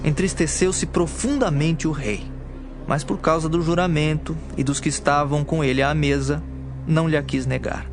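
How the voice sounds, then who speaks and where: A man narrates scripture from a script. His voice is fairly high for a man. It is a dramatized Bible narration with music clearly playing underneath. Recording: clear music bed behind.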